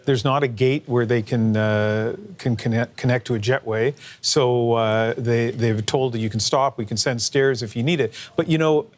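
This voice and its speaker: A man's voice: medium pitch, fairly even intonation, normal speed, few pauses. A man hosting a live news interview in a conversational way, feeling out his questions as he goes.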